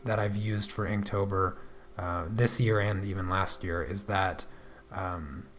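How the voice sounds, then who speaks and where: A soft-spoken man chatting casually on a drawing livestream, hesitant, with frequent restarts and fillers. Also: low voice, steady tone, measured pace. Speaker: artist livestreaming while drawing. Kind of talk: casual livestream chat answering viewers.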